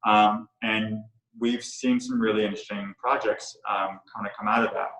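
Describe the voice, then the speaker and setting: A middle-aged man, the co-founder of a coworking space, talking casually and haltingly with many fillers and restarts. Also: fairly low pitch, steady tone, fairly bright tone. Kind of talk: casual talk on a virtual tour. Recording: echoey room.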